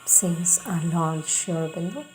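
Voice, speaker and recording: A woman giving a devotional reading of a spiritual text in a solemn, measured way, with emphatic phrasing. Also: lowish voice, darkish tone, poor audio. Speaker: reader of spiritual texts. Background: music.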